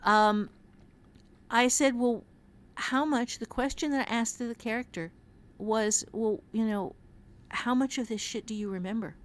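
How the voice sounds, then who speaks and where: A woman talking casually on a podcast as an author and writing teacher, telling her story in a halting, reflective way. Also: fairly high pitch.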